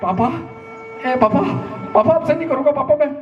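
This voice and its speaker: A man voicing a sheepish teenage son who pleads in a quick, whiny way. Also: extremely high pitch, lo-fi sound, voice pushed up. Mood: whiny pleading. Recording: roomy echo, distant mic, music behind.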